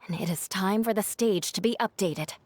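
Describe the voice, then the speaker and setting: A woman playing a firm, candid young noblewoman in a video game, composed, measured and formal. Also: medium pitch, no room sound, lightly acted. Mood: resolute, reflective. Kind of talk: video game cutscene voice acting.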